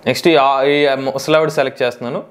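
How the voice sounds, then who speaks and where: A man hosts a tech tutorial, explaining software step by step and repeating key phrases. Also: medium pitch, fairly even intonation, rapid-fire, over a noisy crowd.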